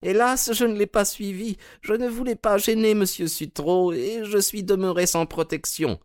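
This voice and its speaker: A man voicing a pale, frail older widow in an audiobook, speaking softly and plaintively in a raised voice. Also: high-pitched, steady tone, moderate pace, voice pushed up, close to an everyday voice. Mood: weary plaintive. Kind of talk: audiobook character reading.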